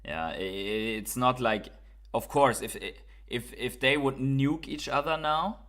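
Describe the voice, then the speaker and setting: A man's voice: mid-range voice, unhurried, a bit bright. A man from Germany with a German accent, hosting a casual crypto livestream, reading viewer comments and thinking aloud with fillers and repeats.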